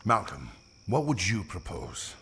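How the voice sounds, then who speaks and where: A man's voice: on the low side, wildly swooping pitch, gravelly, pushed and bright, slight background noise, heavily acted. An actor playing a weary, formal king and worried father in an audio drama, speaking in grave, stately phrases. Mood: troubled. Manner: formal, stately, measured archaic speech.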